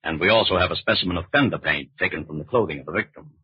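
A brisk, gravel-voiced federal agent. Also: male voice, deep voice, no room sound. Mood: businesslike. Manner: clipped, official, matter-of-fact. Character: firm, courteous male FBI agent. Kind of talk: 1940s radio drama acting. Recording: muffled old radio broadcast recording.

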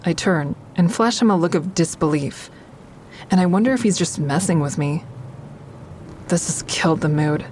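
Actress doing wry young woman telling her own story, lowish voice, audio drama, noisy background. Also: moderate pace, pauses often, a little mellow, close to an everyday voice. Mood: uneasy, reflective. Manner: frank, confessional, wry. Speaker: actor in a fiction podcast. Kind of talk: first-person audio drama narration.

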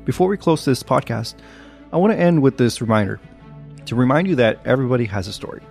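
A man hosting a podcast, reading scripted narration in a measured, earnest way. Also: medium pitch, expressive, creaky, a little mellow, faint music behind. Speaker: podcast host.

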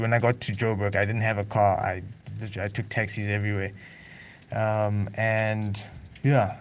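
A man's voice: fairly low pitch, slight background noise. A man, a music producer talking casually and unscripted in a voice note, rambling with many fillers and restarts. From South Africa.

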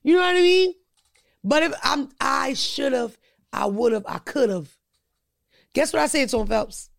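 Brief: gravelly host, loud and profane, dry close sound. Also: female voice, high-pitched, a little slow, no room sound. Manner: loud, profane, animated storytelling with put-on quotes. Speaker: podcast host. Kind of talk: casual storytelling chat.

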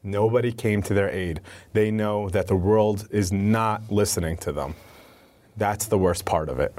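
A man's voice: lowish voice, measured pace, darkish tone. A man speaking as a guest spokesperson in an interview, answering earnestly and repeating words as he starts each thought. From United States. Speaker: advocacy spokesperson.

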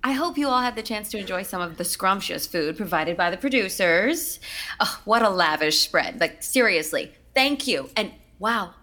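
A woman's voice: mid-range voice, expressive, normal speed, bright, forceful tone. An actress playing an effusive, pretentious musical director addressing her cast in a scripted comedy. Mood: gushing. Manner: effusive, theatrical, preachy. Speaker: theater director.

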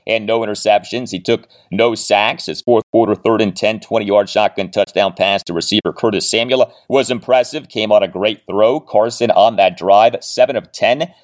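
A man hosting a sports podcast, giving commentary in an emphatic, deliberate way with figures spelled out in full. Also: on the low side. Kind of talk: sports commentary, presenting and analysing interview clips.

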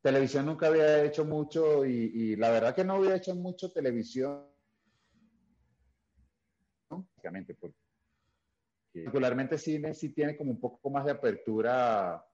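A man's voice: mid-range voice, dark tone. A man from Caracas with a Venezuelan accent, a guest actor telling stories in casual interview chat with many fillers and restarts. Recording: dull lo-fi sound.

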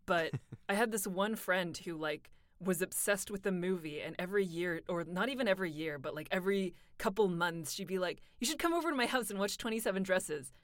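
A young woman with a slightly low voice chats easily about her acting work, often laughing and teasing herself. Her voice sits close and dry, with no room sound around it. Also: animated, a little fast, no echo. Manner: chatty, laughs often, self-deprecating. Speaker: voice actor guest. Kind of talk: casual interview chat.

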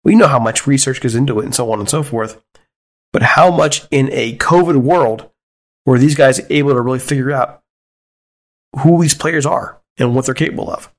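A man's voice: medium pitch, normal speed. A man hosting a casual podcast chat, easygoing and chatty, asking his co-host open questions.

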